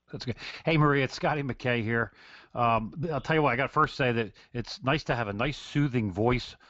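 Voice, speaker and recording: A man's voice: mid-range voice, moderate pace, a bit bright, dry recording, dull audio. A man co-hosting a sports talk radio show, joking around and stumbling over his words a bit. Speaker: radio co-host.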